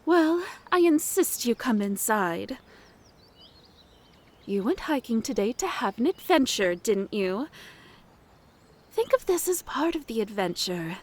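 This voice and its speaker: A woman plays a mature, motherly woman of the woods in an ASMR roleplay. Her voice sits fairly high and she takes her time over every phrase. She is flirtatious and doting, coaxing the listener with pet names. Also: big pitch swings, a little slow, long pauses, darkish tone.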